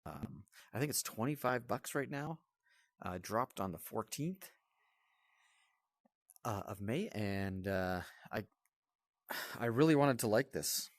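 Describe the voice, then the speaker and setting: A man's voice: lowish voice, lively intonation, slow pace, pauses often, dry recording. A man giving a casual, off-the-cuff game review as the channel's host, in a blunt, grumbling, exasperated manner.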